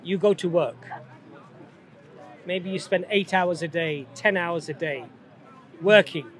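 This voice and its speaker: A man's voice: high voice, slow, fairly bright tone, a faint crowd. A man preaching in a street debate, assertive and lecturing, firing rhetorical questions. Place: city street outdoors.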